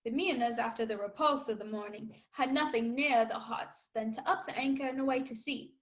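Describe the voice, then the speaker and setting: Woman playing boy adventurer, radio play narrator, higher pitch, measured, literary, plucky. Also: muffled recording, close to an everyday voice. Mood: proud, earnest. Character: plucky boy adventurer telling his own tale.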